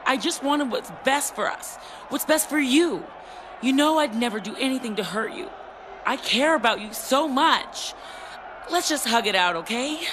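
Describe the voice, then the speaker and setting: A high-pitched, needy woman wrestler in a video game story scene. She is possessive and earnest to the point of pleading. Clear noise sits behind her voice. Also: animated, moderate pace. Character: clingy, possessive female wrestler, gushing and jealous. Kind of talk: scripted video game cutscene acting.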